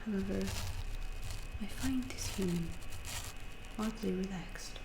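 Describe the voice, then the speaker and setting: A woman voicing a calm, gentle alien researcher in an ASMR roleplay, soft and breathy, often whispering, with formal, measured phrasing and detached curiosity. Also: mid-range voice. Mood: quietly curious. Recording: clearly noisy background hiss.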